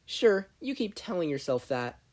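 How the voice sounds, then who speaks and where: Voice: medium pitch, very animated, normal speed, darkish tone, pitched above the performer's own voice. A performer voicing a snarky, dismissive teenage girl inventor, needling a rival in a story reading. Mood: snide. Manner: needling, unimpressed.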